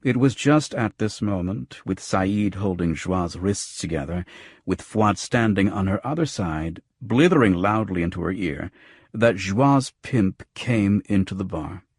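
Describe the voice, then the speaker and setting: A man's voice: low-pitched, lively intonation, unhurried, a little mellow, breathy edge, no echo. A man narrating scripted first-person noir fiction as an audiobook.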